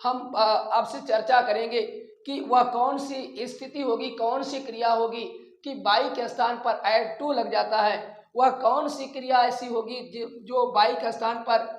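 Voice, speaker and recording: A man teaching a grammar lesson, explaining rules deliberately and repeating his phrases. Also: extremely high pitch, steady tone, dull audio. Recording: echoey room sound.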